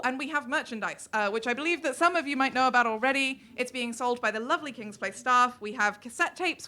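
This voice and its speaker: A woman with a bright, slightly high voice hosts a live show. She makes housekeeping announcements informally, chatting off the cuff.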